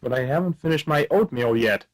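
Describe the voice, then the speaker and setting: The wry, hapless gumshoe of a spoof radio serial, played by a man, deadpan from behind the office desk. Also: on the high side, brisk, lightly acted. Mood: naive, genial. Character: hapless, wry hard-boiled male private detective. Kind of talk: comic noir radio play narration and acting.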